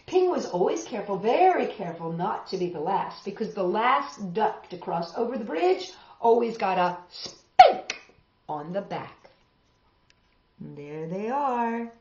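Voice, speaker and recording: A middle-aged woman hosts a children's storytime in a roomy, slightly muffled home recording. Her reading is expressive, with a lively pitch that rises and falls widely. She speaks directly to young listeners in a dramatic storytelling manner. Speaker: children's storytime host and reader. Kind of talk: expressive picture-book read-aloud for children. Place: small home room, indoors, seated on a sofa. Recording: roomy, slightly dull home video sound.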